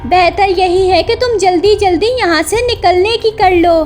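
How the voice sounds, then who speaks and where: Woman voicing a very high-pitched little bird chick. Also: moderate pace, a little mellow, soft background music. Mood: indignant. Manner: pleading, scolding, childlike. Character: small young female bird chick, anxious but bold.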